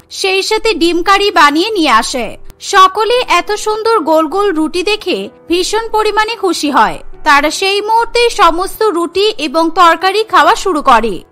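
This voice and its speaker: Female cartoon narrator, scripted storytelling, very high pitch, bright tone, music bed.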